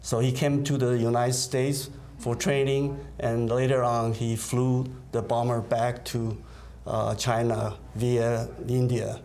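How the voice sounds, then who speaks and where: A man's voice: medium pitch, little pitch movement, takes it slowly, darkish tone, slight background noise. A man who grew up in Taiwan, an event organizer answering interview questions hesitantly, with many fillers. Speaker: concert organizer.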